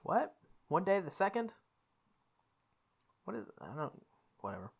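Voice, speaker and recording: A woman's voice: sits low, big pitch swings, unhurried, husky. A woman reading visual novel text aloud as a playthrough host, sometimes stumbling and re-reading lines. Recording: music behind the voice.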